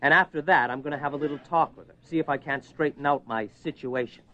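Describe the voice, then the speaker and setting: A man's voice: a little high, quick pace, bright, forceful tone. A man playing an exasperated, short-tempered husband in a radio horror play, blunt and argumentative. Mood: irritated, defiant. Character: exasperated, short-tempered husband, worried about his obsessed wife. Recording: dull lo-fi radio recording.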